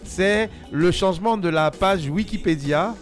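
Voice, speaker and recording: A man making his point in a talk show debate, measured and halting with fillers. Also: sits high. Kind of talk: casual debate. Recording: music clearly playing behind.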